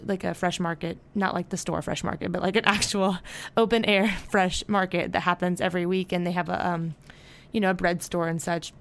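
A woman's voice: on the low side, clean tone. A woman in her twenties from the United States, hosting a podcast and chatting casually in a rambling way with fillers and self-corrections. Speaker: podcast host. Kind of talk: casual solo podcast chat.

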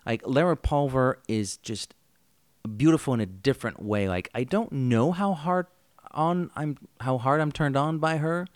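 A man co-hosting a podcast in casual chat, excitable and quick to laugh. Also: mid-range voice, smooth, dry recording, studio quality. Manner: excitable, laughs easily, jokey. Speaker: podcast co-host.

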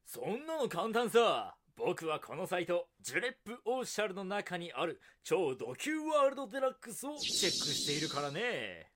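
Infomercial spoof: theatrical, helpful know-it-all buddy acted by a man, high pitched, very animated. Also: normal speed, hardly any pauses, noisy background. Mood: smug, cheerful. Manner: theatrical, confident. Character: smug, helpful anime-fan buddy named Michael. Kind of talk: scripted comedic infomercial parody.

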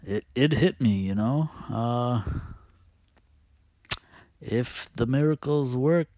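A man talking casually as a host, telling personal stories in a halting, hesitant, confiding way. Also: medium pitch, mellow, no echo, clean studio sound.

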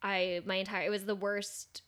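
A young woman from Northern California, a guest on a casual podcast. Her voice has a steady nasal tone. She tells stories in a chatty, self-deprecating way. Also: mid-range voice, normal speed. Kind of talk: casual chat.

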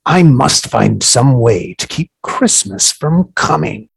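A snarling, scheming creature from a storybook, as a man reading the tale puts him on. His voice is gravelly and raspy, with a slow, grumbling pace. He sneers through every line. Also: mid-range voice, sing-song, no echo, voice pushed up, full character voice. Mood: sneering. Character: grumpy scheming green male creature.

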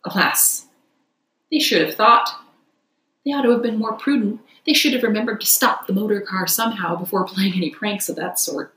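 Lady reading a kids storybook out loud, expressive, medium pitch, echoey room. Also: normal speed, slight background noise. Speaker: audiobook reader.

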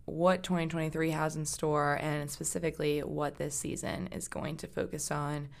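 Young woman, podcast co-host, quiet and soft-spoken, low voice with a gravelly edge. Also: little pitch movement, normal speed. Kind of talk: casual chat.

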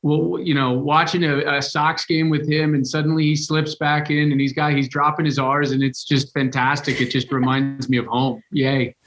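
Guy guesting on a podcast, friendly, measured, kinda businesslike. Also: on the high side, flat delivery, fairly bright tone, slight background noise. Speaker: podcast guest, equipment company rep. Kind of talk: casual podcast chat.

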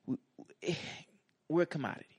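A man in his twenties in casual conversation, haltingly circling back over his phrases as he makes a point. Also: darkish tone. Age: 20s. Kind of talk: casual chat.

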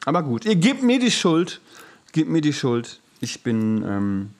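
A man's voice: on the high side, huge pitch swings, measured pace. A man co-hosting a podcast in casual comedic chat, with a jokey, teasing way of talking. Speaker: podcast co-host. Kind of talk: casual comedic chat, telling flat jokes.

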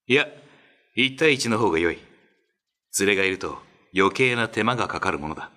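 A man's voice: on the low side, expressive, brisk, sharp tone. A man acting a dutiful, self-assured prince in a radio drama, composed and formal in manner. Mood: resolute.

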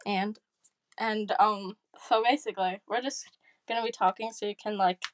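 A woman's voice: mid-range voice, steady tone, normal speed, dry recording. A young woman co-hosting a casual chat, giggly and rambling with many fillers. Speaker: co-host.

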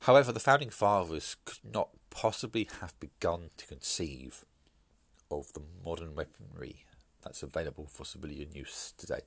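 A man hosting his own podcast, talking through an opinion piece in a measured, hesitant way with frequent fillers and restarts, sounding congested and bunged up from hay fever. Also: a bass voice, gravelly, a bit bright, husky, no echo. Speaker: podcast host. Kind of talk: solo podcast commentary.

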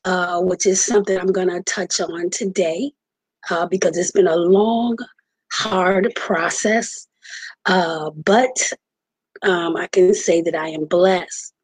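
Woman in her forties, podcast host, somewhat low voice, husky, earnest, halting with many ums, dry close sound. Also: fairly even intonation, no room sound, rough recording. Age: 40s. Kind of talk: personal storytelling. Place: home, just moved in.

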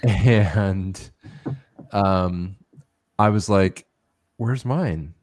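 A man's voice: low voice, drawn-out words, a little mellow, no echo, clean studio sound. A middle-aged man co-hosting a casual podcast, bantering in a wry, self-mocking way. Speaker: podcast co-host. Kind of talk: casual chat.

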